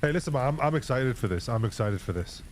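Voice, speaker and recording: A man's voice: medium pitch, expressive, fast, slight background noise. A man who is a guest on a stream, bantering playfully and teasing the host. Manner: playful, teasing, calls people bro and guys. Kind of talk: casual banter.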